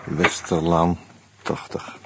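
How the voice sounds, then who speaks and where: An actor playing an uneasy murder suspect in a radio play, musing and hesitant, with a wry streak. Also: male voice, deep voice, monotone, slow pace, very noisy. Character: uneasy male murder suspect narrating his own investigation. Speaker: actor and first-person narrator. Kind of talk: Dutch radio play, reflective narration and dialogue.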